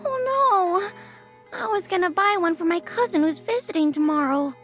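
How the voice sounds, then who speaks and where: Excitable, squeaky-high small child. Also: female voice, normal speed. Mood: disappointed. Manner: eager, exclamatory. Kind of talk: video game voice acting. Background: music.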